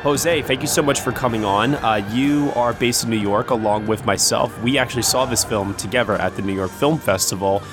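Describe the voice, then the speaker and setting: A man's voice: mid-range voice, fairly quick, few pauses, over music. A man hosting a film review discussion, speaking in a wordy, emphatic way. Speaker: podcast host.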